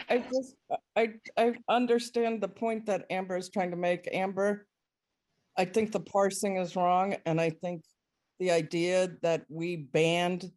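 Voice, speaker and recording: A woman speaking in an online board meeting, measured but stumbling at the start of her points. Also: on the low side, a little mellow. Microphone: video call.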